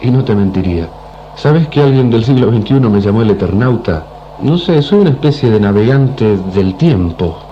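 An actor playing a weary, enigmatic time traveler, telling his story gravely and measuredly in a radio drama. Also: fairly low pitch, animated, normal speed, muffled recording, slight background noise, lightly acted.

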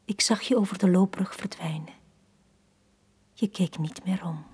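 An actress playing a forlorn, lovesick woman in a radio drama from the 1980s, speaking in a hushed, breathy, poetic manner. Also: lowish voice. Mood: sorrowful. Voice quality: breathy, whispery. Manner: slow, hushed, poetic delivery. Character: Tanja, forlorn, lovesick woman left on the quay.

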